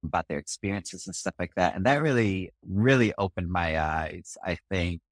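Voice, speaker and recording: A man's voice: deep voice, lively intonation, moderate pace, dry recording, clean studio sound. A man from Singapore, a community organizer, telling his story in an interview in a thoughtful way, often checking in with "right?". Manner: thoughtful, with frequent "right?" asides. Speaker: racial justice organizer.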